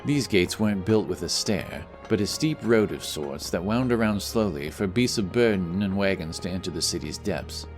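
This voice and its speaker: A man reading scripted fantasy narration dramatically, with music playing behind him. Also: deep voice.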